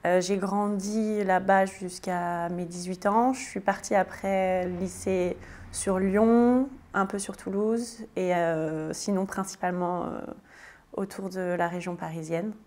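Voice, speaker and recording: A woman's voice: medium pitch, steady tone, unhurried, a little mellow. A French woman in her thirties answering interview questions casually, with many hesitations and restarts. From France. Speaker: guest, tiny house builder.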